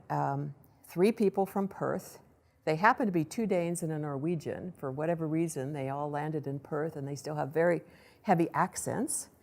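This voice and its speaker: A woman presenting a startup case study to an audience in a lively storytelling way, acting out what people said. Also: low-pitched, gravelly. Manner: lively storytelling, acts out quoted voices. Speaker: accelerator program director giving a presentation.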